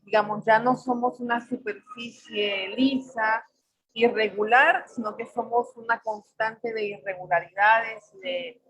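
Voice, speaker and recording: A woman's voice: on the high side, steady tone, a little slow, fairly bright tone, husky, low-quality recording. Thoughtful Salvadoran woman in her forties. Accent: Salvadoran Spanish mixed with Mexican. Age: 40s. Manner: thoughtful, searching for words with frequent fillers. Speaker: poet on a panel. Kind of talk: reflective personal talk. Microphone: video call.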